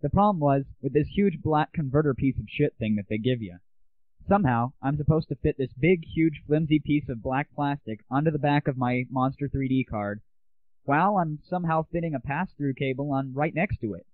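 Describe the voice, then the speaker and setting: A man's voice: fairly high pitch, brisk, dry recording. Male host, brash and crude, casual monologue, dry, tinny lo-fi.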